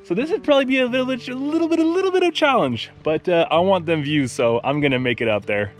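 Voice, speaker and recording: A man's voice: high voice, lively intonation, quick pace, over music. A young man filming himself on a forest hiking trail, narrating a travel vlog in an upbeat, joking way. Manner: upbeat, joking about the stairs. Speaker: travel vlogger. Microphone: camera held at arm's length.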